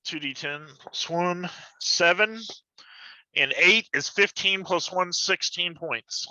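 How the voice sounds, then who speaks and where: Very bright, forceful man playing a tabletop game. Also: sits high, takes it slowly, no echo, rough recording. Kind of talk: casual game-table chat.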